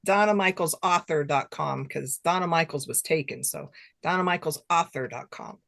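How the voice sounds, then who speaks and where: A woman's voice: sits low, normal speed, gravelly, fairly bright tone. Chatty, enthusiastic older woman. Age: middle-aged or older, has grandchildren. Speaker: guest author. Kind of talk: casual interview chat.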